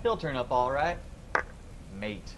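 An actor playing a cheerful, cocky young son in a radio drama, breezy and bantering. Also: male voice, medium pitch, expressive, slow pace, fairly bright tone, slight background noise.

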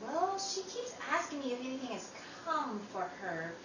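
Actress doing protective sensible sister in old radio drama, highish voice, emphatic, far from the mic. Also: female voice, big pitch swings, moderate pace. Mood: weary, practical. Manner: motherly, emphatic. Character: Emily, sensible, protective young working sister, firm peacemaker. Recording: roomy, distant mic, noisy.

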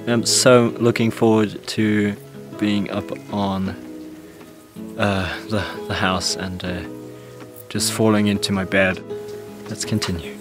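A young man filming himself on a mountain trail at arm's length, out of breath and tired, talking in halting, breathy bits between climbs. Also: lowish voice. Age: young adult. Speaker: vlogger. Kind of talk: selfie vlog on the trail. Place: outdoors on a mountain. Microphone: handheld action camera at arm's length.

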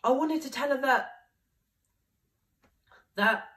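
A young man playing an excitable young man who tells a rambling story about a party, full of "like". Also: very high voice, slow pace, pitched above the performer's own voice, heavily acted. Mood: wistful. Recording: voice picked up from a distance.

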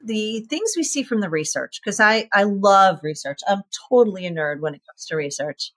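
Lively woman in her fifties explaining. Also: mid-range voice, moderate pace. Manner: lively, uses vivid comparisons and repetition for emphasis. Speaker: veterinarian guest. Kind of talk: explanatory interview answers.

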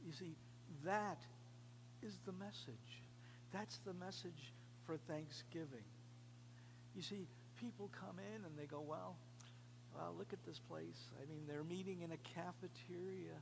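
High-pitched American pastor preaching, noisy recording. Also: male voice. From New York, United States. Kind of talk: sermon to a small congregation. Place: indoors, cafeteria used as a church hall. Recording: clearly noisy room recording with hum.

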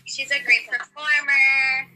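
Young woman, very bright high voice, chatty, video call audio. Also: little pitch movement, slow pace, faint music behind, rough recording. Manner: chatty, laughing, filler-heavy. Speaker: singer answering fan questions. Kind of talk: casual video call chat. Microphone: video call on a phone.

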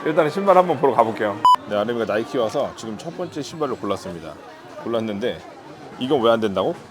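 A man speaks in a casual vlog style, shifting between narration and everyday chat. His pitch moves around in a lively way. Music and crowd chatter sit clearly behind his voice. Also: quick pace. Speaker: vlogger husband filming his wife. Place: voice-over and on location in an outlet shoe store. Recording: background music and crowd noise. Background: music, shoppers' chatter.

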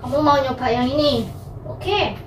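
A young woman chatting casually to viewers in a halting, self-correcting way, often asking them questions. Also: sits high. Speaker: vlogger. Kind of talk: casual vlog chat, testing a microphone. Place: indoors, hard-walled rooms at home. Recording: echoey room, distant mic, clear background noise.

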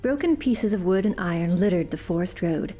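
A woman hosting a podcast and giving a dramatic reading of a fantasy story, turning hushed in tense passages. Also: on the low side, expressive, brisk, soft background music, rough recording. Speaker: podcast host and reader.

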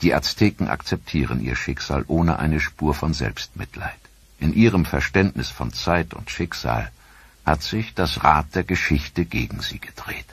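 Very deep grave documentary narrator, a man. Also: animated, gravelly, fairly bright tone, slightly rough, no room sound. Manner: grave, measured.

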